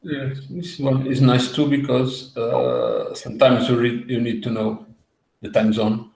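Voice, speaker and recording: A man co-hosting a casual tech show, talking in a halting way with many fillers. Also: medium pitch, fairly even intonation, a little mellow, slight background noise. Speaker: co-host. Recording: roomy echo.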